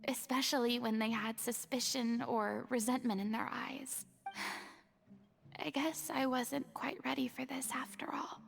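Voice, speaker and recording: A woman voicing a remorseful young woman in a video game, speaking softly and wistfully, often half-whispered. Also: on the high side, fairly even intonation, measured pace, vocal fry, soft background music. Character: remorseful young woman, former goddess pretender.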